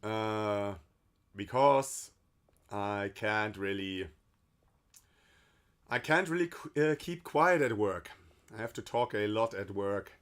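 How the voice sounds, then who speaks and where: A hoarse man casually commenting while playing a game on stream, rambling loosely and swearing now and then. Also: on the low side, slow, long pauses, fairly bright tone. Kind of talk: casual let's-play commentary.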